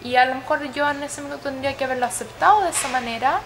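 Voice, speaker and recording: A woman's voice: sits high, rapid-fire, a bit bright, slight background noise. A woman with a Chilean accent telling her personal story as an interviewee, reflective and often searching for words. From Sweden and Chile.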